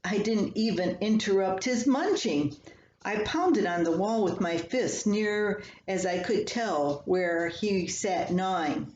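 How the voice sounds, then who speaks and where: An older woman reading her own humorous personal column aloud in a folksy, unhurried storytelling manner. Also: medium pitch, animated, a little mellow. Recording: echoey room, slightly dull, faint hiss.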